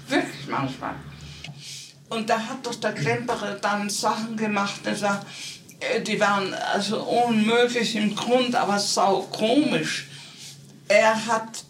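Woman, nineties, unhurried dramatic storyteller; roomy, slightly noisy interview recording. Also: medium pitch. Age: 90s. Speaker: retired opera singer. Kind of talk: anecdotal reminiscing in an interview. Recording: roomy, distant, noisy archive interview tape.

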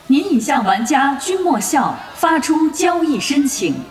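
Game system voice: female, formal, measured, drenched in reverb and distant. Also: sits high, sing-song. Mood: formal, neutral. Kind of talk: scripted system notification in an audio drama. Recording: echoey, distant long reverb with clear noise.

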